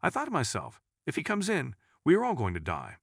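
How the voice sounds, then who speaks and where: A man's voice: on the high side, wildly swooping pitch, fairly quick, creaky, a bit bright, no echo, studio quality. A man reading a scripted news report as a narrator.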